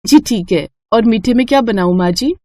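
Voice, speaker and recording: A woman's voice: fairly high pitch, animated, moderate pace, darkish tone, dry recording, close to an everyday voice. A woman voicing a young new bride in a family storybook tale, deferential, earnest and eager to please. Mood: polite, eager. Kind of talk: acted Hindi family storybook dialogue.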